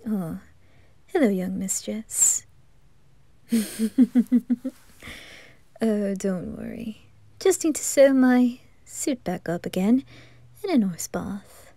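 A woman's voice: mid-range voice, animated, slow, long pauses, dark tone, dry recording. A woman voicing a composed, courteous male butler in a fan audio roleplay.

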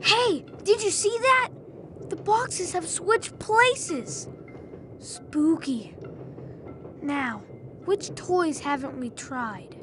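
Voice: very high voice, expressive, drawn-out words, pauses often, over music, heavily acted. An actor playing a brave, reassuring young boy in a video game, speaking in an earnest, encouraging way. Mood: puzzled. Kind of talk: video game cutscene acting.

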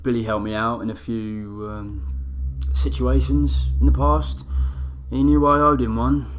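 A man's voice: mid-range voice, flat delivery, a little slow, slight background noise, lightly acted. Man playing a cocky bare-knuckle fighter. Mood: wry, reminiscing. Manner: slangy, matey, informal. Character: rough, cocky young male bare-knuckle fighter recounting his past. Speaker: actor in an audio drama. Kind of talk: chatty first-person dramatic storytelling.

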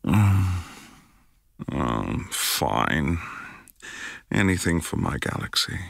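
A man playing an affectionate, playful young boyfriend in intimate ASMR roleplay, murmuring breathily with lingering hums and pauses, close to the mic. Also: very deep voice, very animated, creaky, dry recording, full character voice. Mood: teasing, amorous. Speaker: audio roleplay performer. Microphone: close, dry microphone.

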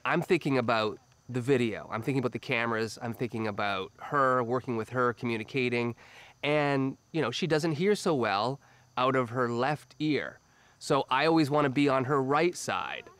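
A man's voice: medium pitch, brisk, fairly bright tone. A man in his forties co-hosting a comic cooking show outdoors in a garden, teasing his partner and playing up his own exasperation, recorded on a lapel mic. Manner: teasing, exaggerated, playfully exasperated. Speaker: co-host, TV personality.

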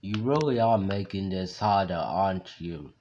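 A man voicing a sinister female animatronic chicken mascot, speaking slowly and stuttering on his threats. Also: sits low, expressive, highs cut off, very noisy. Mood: exasperated. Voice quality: stutters on words, drawn out. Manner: slow, taunting delivery. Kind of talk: fan-made game character voice lines. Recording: loud keyboard typing and clicks behind. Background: keyboard typing and clicks.

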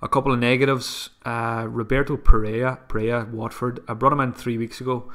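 A man's voice: medium pitch, little pitch movement, a little fast, a bit bright. A man hosting a solo podcast, talking casually and a bit sniffly from a cold, with frequent restarts and self-deprecating asides. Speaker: podcast host. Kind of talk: solo fantasy football podcast.

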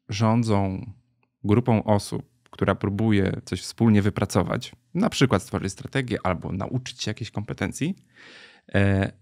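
A man speaking as a podcast guest, explaining his work in a reflective, halting, conversational way. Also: on the low side, creaky, darkish tone, dry recording, clean studio sound. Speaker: podcast guest, brand strategist. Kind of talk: thoughtful interview conversation.

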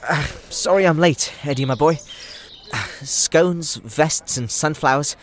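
Guy doing a flustered older hobbit gent, friendly, apologetic, pitched up and loud. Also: measured pace, slight background noise, lightly acted. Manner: exclamatory, apologetic.

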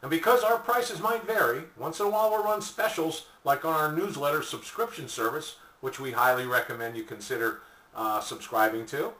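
An older man giving a folksy, conversational sales pitch to camera as a product presenter, seated in a small room. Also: normal speed, sharp tone. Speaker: product presenter for an online shop. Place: small indoor room at home or office, seated. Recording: echoey room, distant mic.

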